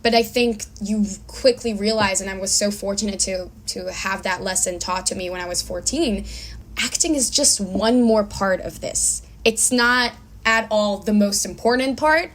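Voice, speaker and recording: A woman's voice: mid-range voice, moderate pace, a bit bright. Woman chatting earnestly over background noise. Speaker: guest actor and filmmaker. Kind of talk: casual conversational interview answers.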